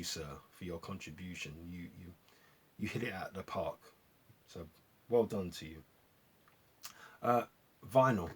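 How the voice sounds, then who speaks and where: A man hosting a vlog, chatting casually and appreciatively in a rambling, gentlemanly way as they review records. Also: deep voice, slightly rough. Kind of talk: casual album review chat to viewers.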